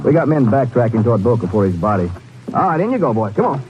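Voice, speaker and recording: A man's voice: mid-range voice, sing-song, quick pace, over music, natural voice. An American actor playing a folksy, easygoing Texas lawman in a 1950s western radio drama. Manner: folksy, colloquial. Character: folksy, easygoing male Texas lawman handling his horse. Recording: muffled, noisy old radio recording.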